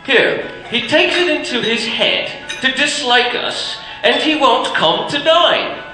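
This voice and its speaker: A good-humored young nephew. His voice is very high and lively. It comes through an echoey vintage broadcast with music underneath. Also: takes it slowly, full character voice. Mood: kindly. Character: cheerful young nephew Fred, good-natured. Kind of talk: 1940s radio acting.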